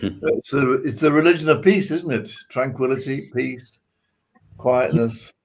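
A man's voice: medium pitch, unhurried, poor audio. A man giving his views casually as a panellist in a discussion over a video call. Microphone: video call microphone. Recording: video call with faint noise, clicks.

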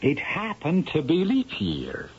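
An actor in a 1970s radio drama playing a lively old Irish storyteller with a theatrical, swooping delivery. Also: male voice, a little high, slow, husky, full character voice. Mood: sly, animated. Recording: muffled vintage radio broadcast with music.

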